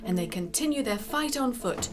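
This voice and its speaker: A woman narrating a medieval legend as a scripted story, with dramatic, literary, measured phrasing. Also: a little high, lively intonation, music in the background.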